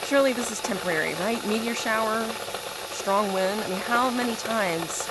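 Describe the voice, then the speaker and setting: A woman's voice: mid-range voice, very noisy, lightly acted. A woman acting an anxious, dutiful night-shift radio operator at a reactor base in an audio drama, calling out in radio procedure. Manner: radio-procedure call-outs, increasingly urgent.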